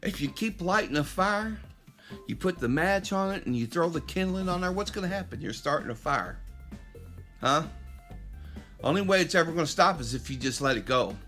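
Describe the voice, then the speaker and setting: A man's voice: fairly high pitch, animated, normal speed, pushed and bright, faint music behind. A middle-aged man hosts a podcast, delivering an impassioned, preachy monologue straight at his listeners with frequent restarts. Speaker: podcast host. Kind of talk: opinionated monologue.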